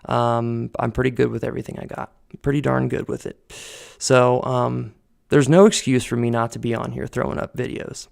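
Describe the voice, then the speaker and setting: Earnest man with a gravelly voice. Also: medium pitch, flat delivery, moderate pace. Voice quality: loud voice. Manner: rambling, earnest, many fillers and restarts. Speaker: vlogger. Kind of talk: casual personal talk to camera. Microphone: desk condenser mic on a boom arm.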